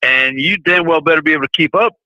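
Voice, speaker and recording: A man's voice: on the high side, quick pace, pushed and bright, no room sound. A man in his forties calling into a live show, joking around and speaking bluntly. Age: 40s. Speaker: caller. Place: calling in from abroad. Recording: dull phone call line.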